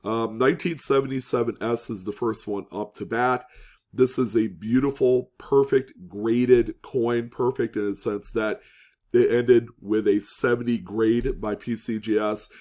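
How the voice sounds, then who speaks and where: A man hosting a coin market show, talking casually with an upbeat manner and lots of fillers, his voice raspy and slightly hoarse. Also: medium pitch, fairly even intonation, measured pace, darkish tone. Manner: upbeat, filler-heavy talk with many "um"s and "uh"s. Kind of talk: casual presenting of auction results.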